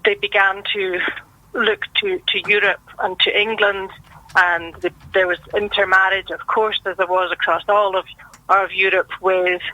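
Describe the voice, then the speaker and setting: A Scottish woman, a guest on a radio interview, explaining in a measured, patient way. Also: mid-range voice, flat delivery, sharp tone, no room sound, lo-fi sound. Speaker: guest from a language board.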